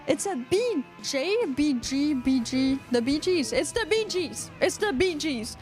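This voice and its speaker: Lively, high-voiced Quebecois woman. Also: unhurried, faint music behind. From Quebec, Canada. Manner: rambling, joking, reading out live chat comments. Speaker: podcast co-host. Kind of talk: casual livestream podcast chat.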